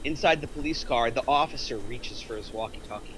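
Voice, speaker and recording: A man's voice: mid-range voice, a little fast, a bit bright, slight background noise, poor audio. A man narrating, reading out screenplay stage directions at a table read.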